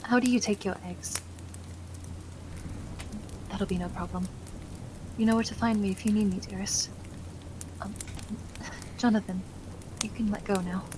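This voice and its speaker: A woman's voice: medium pitch, fairly even intonation, a little slow, long pauses, background noise, lightly acted. Sweet, genteel loving wife played by a woman. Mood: caring, attentive. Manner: gentle, affectionate, old-fashioned politeness. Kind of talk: ASMR roleplay acting.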